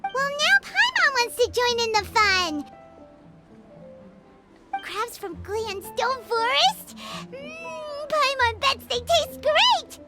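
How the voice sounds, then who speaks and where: A woman voicing a small, excitable, childlike fairy companion in a video game, squeaky, bubbly and exaggerated. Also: slow pace, full character voice. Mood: eager. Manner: bubbly, exaggerated, speaks of self in third person. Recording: loud music behind the voice.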